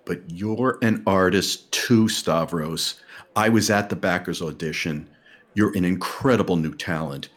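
An actor playing a fawning male theater critic who interviews with gushing, insinuating flattery. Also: low-pitched, unhurried.

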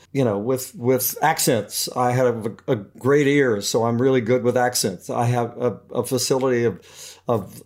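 A man, a working actor, talking casually in an interview, often restarting and searching for words. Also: medium pitch. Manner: hesitant, many restarts and fillers. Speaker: actor guest.

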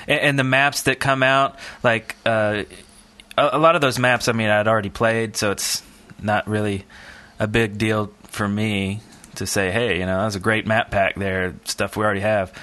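A man's voice: lowish voice, sharp tone. A man hosting a podcast in casual chat, with a joking, teasing manner. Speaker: podcast host.

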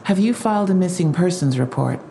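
An actress playing a courteous, steady police detective, asking questions politely and gently in an audio drama. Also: female voice, fairly low pitch, brisk, gravelly, a little hiss or hum. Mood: calm, careful. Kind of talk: full-cast audio drama acting.

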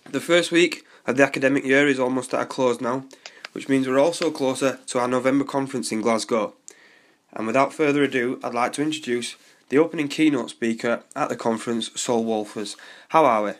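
A young man hosting a podcast interview, chatting in a relaxed way with a blocked nose from a cold. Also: mid-range voice, a little fast. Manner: relaxed, chatty, hesitant fillers. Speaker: podcast host.

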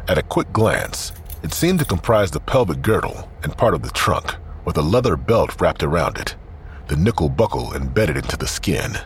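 A man narrating scripted crime fiction in a grim, deliberate storytelling manner. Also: sits low, sing-song, slight background noise.

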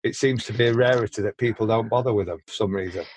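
A man hosting a casual podcast, chatty and quick to share opinions, often stumbling and repeating words as he starts a sentence. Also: lowish voice, darkish tone, a little hiss or hum. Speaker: podcast host. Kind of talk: casual chat.

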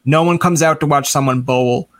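Man, fairly high voice, talk show host, rambling, joking, informal. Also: moderate pace. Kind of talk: casual interview chat.

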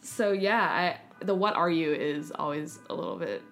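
A woman's voice: on the low side, normal speed, creaky, faint music behind. A young American woman talking casually as a podcast guest, giggly and telling stories with acted-out quotes. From United States (San Francisco). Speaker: podcast guest, filmmaker and actor. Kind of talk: casual conversation.

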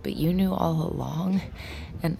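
An actress playing a troubled, secretive woman in love, hesitant and hushed, in an intimate audio drama. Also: lowish voice, a little slow, gravelly. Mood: anxious, torn. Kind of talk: intimate audio drama acting and confessional narration.

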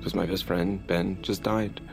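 An actor playing a goofy, excitable male amateur bounty hunter in an audio drama, rambling and emotional. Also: low voice, unhurried, creaky, over music. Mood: solemn.

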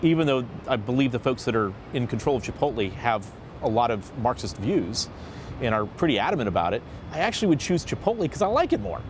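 Man, panel host, animated, joking, casual table talk, faint street rumble. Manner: animated and jokey, with a rising upbeat lilt. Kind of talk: casual roundtable discussion. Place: seated at a table in a city.

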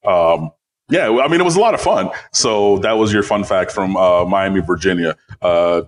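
A man with a deep bass voice talks on a podcast. He rambles through stories with lots of fillers and plenty of animation. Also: expressive, moderate pace. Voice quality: low bass voice. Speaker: podcast guest, site editor. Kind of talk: casual chat.

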